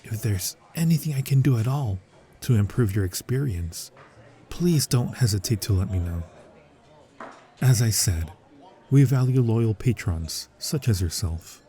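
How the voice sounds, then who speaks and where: A man's voice: on the low side, animated, measured pace, dark tone. A man playing a fawning, suspicious restaurant manager in an ASMR roleplay, formal and unctuously polite. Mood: placating. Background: music.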